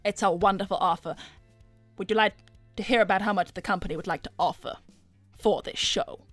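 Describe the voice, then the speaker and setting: A glamorous, scheming cartoon businesswoman played by a woman speaks in a mid-range voice with theatrical polish. She is smoothly persuasive and commanding, recorded dry with faint music underneath. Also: mid-range voice, a little fast, no room sound, close to an everyday voice. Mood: businesslike persuasion. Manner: suave, theatrical, commanding, calls others darling. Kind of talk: improvised in-character livestream chat.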